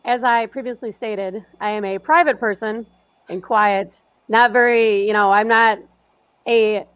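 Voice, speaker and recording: A woman writer talks in casual chat, hesitant and self-deprecating. Her voice sits a bit high, and the sound is dry and close. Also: steady tone, a little slow, clean tone, no echo, dull audio. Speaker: podcast guest, writer.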